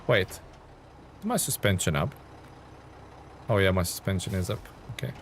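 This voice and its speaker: A man's voice: low voice, animated, measured pace. Man streaming casually over engine noise. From Lithuania. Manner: friendly, informal, thinks aloud. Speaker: video game livestreamer. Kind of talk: casual gaming stream chat and commentary. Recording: clear vehicle and engine noise behind. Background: truck game sounds.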